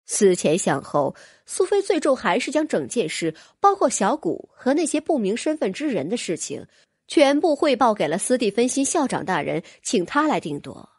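A woman's voice: fairly high pitch, very animated, normal speed, darkish tone, breathy edge, dry recording, studio quality. A woman narrating a fantasy audiobook from a script, as a storyteller.

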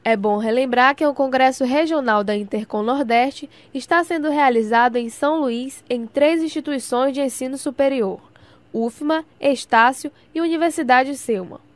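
Brazilian lady doing a scripted radio news report, really high voice, dry close sound. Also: moderate pace, no echo.

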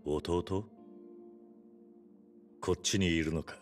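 A man playing a stoic, reserved yakuza in a Japanese game cutscene, speaking in terse, blunt, halting phrases. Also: deep voice, fairly even intonation, soft background music. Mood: stoic, serious.

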